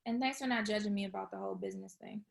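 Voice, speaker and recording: A woman's voice: mid-range voice, racing, vocal fry, heavily acted. A woman reading the part of a playful, slangy young woman in a comedy script table read. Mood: grateful, casual. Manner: slangy, animated reactions. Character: Issa, playful broke young woman, teasing and casual.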